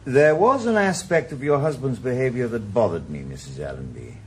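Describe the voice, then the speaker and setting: A man's voice: medium pitch, very animated, normal speed, a little hiss or hum, close to an everyday voice. An actor playing a weary retired policeman turned private eye in a radio drama, dry and laconic. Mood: probing. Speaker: private investigator. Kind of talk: 1980s radio drama acting.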